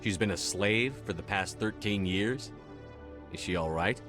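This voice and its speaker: An actor playing a composed, dutiful military commander in a video game, direct and matter-of-fact. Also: male voice, deep voice, unhurried, over music. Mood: concerned, decisive. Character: composed, dutiful male military starship commander, firm and diplomatic.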